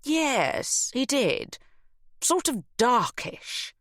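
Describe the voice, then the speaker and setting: A woman voicing a nosy, chatty older woman who runs a shop, insistent and quick to huff. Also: high-pitched, big pitch swings, fairly bright tone, no echo, clean studio sound, voice pushed up, full character voice.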